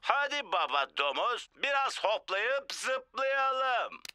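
A man's voice: extremely high pitch, slow pace, clear, resonant tone, dry recording, clean studio sound, full character voice. A man voicing a cheerful, showy potato TV presenter in a children's cartoon dub. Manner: showy and animated. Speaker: TV presenter character. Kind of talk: cartoon voice acting.

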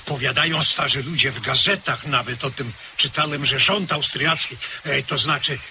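An actor playing a gentle village priest in a radio play, measured and homely. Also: male voice, medium pitch, fairly even intonation, noisy background, natural voice. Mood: homely musing. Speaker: parish priest. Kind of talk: 1970s radio drama acting.

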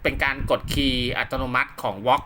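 A man's voice: mid-range voice, little pitch movement, moderate pace. Man giving casual tutorial, noisy recording. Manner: conversational, repeats himself often, polite particles. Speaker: host of radio equipment tutorial. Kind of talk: casual hands-on tutorial, talking viewers through steps. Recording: clearly noisy home-made recording with clicks.

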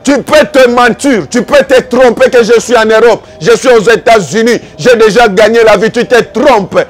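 A man's voice: very high voice, normal speed, soft background music. A man with a Congolese accent preaching a fervent evangelical sermon, exhorting and accusing with repeated rhetorical questions.

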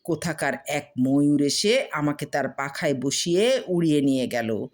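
A woman's voice: deep voice, lively intonation, moderate pace. A woman voicing a sly, boastful male traveller in a storybook tale, eager and coaxing.

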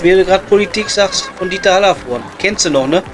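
A man's voice: high voice, fast, over music, close to an everyday voice. A man playing a blunt, chatty, sweary coal miner with a Ruhr accent in improvised pub comedy. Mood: chatty, joking. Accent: Ruhr area German. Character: blunt, joking working-class coal miner at his pub. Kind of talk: improvised comedy audio play, pub chat.

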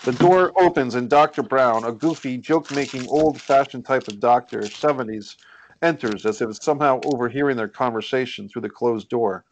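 A man's voice: medium pitch, moderate pace, slight background noise, poor audio. A man hosting a script read and reading out the stage directions. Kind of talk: scripted screenplay narration. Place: indoors on a group video call.

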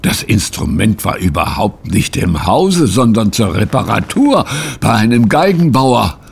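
A man acting in a German audio drama as a bewildered, troubled gentleman, telling his account in a solemn, halting way. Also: fairly low pitch, sing-song, vocal fry, breathy edge, heavily acted. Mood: earnest recounting.

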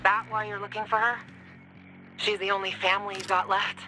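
A woman's voice: mid-range voice, flat delivery, a little fast, background noise, close to an everyday voice. A woman voicing a tough, bitter young survivor in a video game, with a blunt, sardonic manner. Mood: wry, teasing. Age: young adult.

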